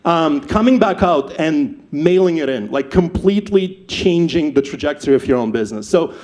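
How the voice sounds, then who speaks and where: A young man from Ukraine with a Ukrainian accent, telling his startup story on stage, animated and often repeating words as he restarts. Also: a little high, moderate pace. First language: not English. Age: young adult. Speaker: startup founder speaker.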